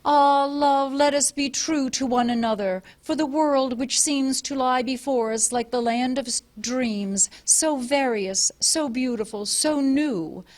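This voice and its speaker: Brief: a woman playing a fireman reciting verse, slow and solemn, mid-high voice. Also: a little mellow. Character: shaken fireman reading a poem aloud.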